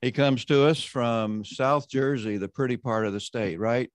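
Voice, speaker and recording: A man hosting an online roundtable, introducing panelists and leading a prayer in a measured, conversational way. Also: medium pitch, no room sound. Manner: measured, conversational, often slowing down. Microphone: video call.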